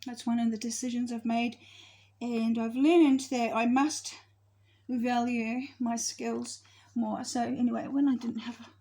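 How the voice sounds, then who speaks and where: A woman's voice: a little high, level tone, measured pace, dark tone. A woman in her fifties talking casually to her viewers about astrology, rambling, losing her thread and correcting herself as she goes. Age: 50s. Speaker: astrology vlogger. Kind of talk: casual spoken monologue to camera.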